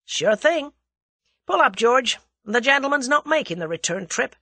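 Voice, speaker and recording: Woman acting a brash young American man, lively and commanding. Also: fairly high pitch, moderate pace, no room sound, voice pushed up. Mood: breezy, wry.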